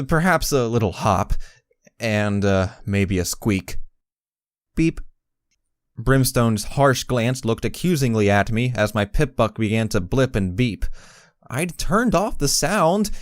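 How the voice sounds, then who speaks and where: A man voicing a timid, soft-hearted young pony who narrates an audio story in the first person, earnest and hesitant. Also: mid-range voice, dry recording, clean studio sound.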